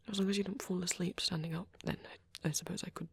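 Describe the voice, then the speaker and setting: A man playing a shy young male vampire in an ASMR roleplay, rambling hesitantly and apologetically in a soft, breathy voice that often drops to a whisper. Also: sits high, no room sound, full character voice. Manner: hesitant, halting, rambling, self-correcting.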